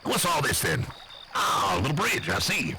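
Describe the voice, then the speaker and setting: A man's voice: mid-range voice, normal speed, slight background noise, heavily acted, low-quality recording. A man voicing a blustery, nervous backwoods uncle with an American accent, rambling and swearing in an improvised comic monologue. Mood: boastful, rambling. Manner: rambling, folksy, profane, excitable.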